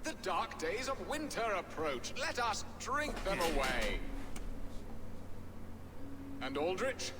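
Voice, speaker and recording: A jovial middle-aged lord from a medieval video game. His delivery swoops widely in a fairly high male voice, full of flowery flourishes. It rings through a long hall reverb with music underneath. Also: sing-song, takes it slowly, pauses often, background noise, full character voice. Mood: boisterous, dismissive. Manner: theatrical, flowery wordplay. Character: jovial, florid, unhappily married English lord. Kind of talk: dramatic video game acting.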